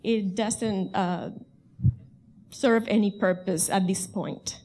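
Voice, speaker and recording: A woman's voice: mid-range voice, fairly even intonation, takes it slowly, noisy background. A middle-aged woman from Cuba with a Cuban Spanish accent, speaking English as a second language, earnestly sharing a personal comment from the audience at a panel. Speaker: audience member.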